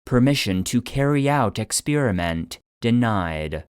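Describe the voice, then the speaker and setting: A man giving scripted narration in a measured, clinical manner, like a report being read out. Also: mid-range voice, animated, clean tone, no echo, studio quality. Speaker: narrator and producer.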